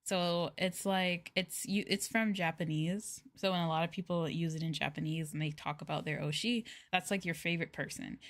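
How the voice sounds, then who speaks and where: A woman streaming as a VTuber, chatting casually in a rambling, giggly way with lots of filler words. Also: on the low side. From United States. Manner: giggly and playful.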